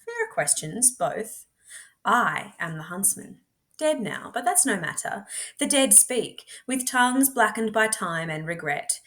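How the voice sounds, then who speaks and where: A woman reading a storybook aloud expressively, in a measured, dramatic way with deliberate pauses. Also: mid-range voice, a bit bright. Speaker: teacher reading books aloud.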